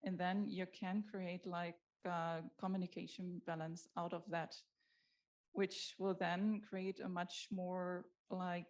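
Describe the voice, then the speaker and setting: A woman speaks on a panel in a low, very even voice that barely moves in pitch. She hesitates often and leans on fillers as she talks. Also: muffled recording. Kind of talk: panel discussion.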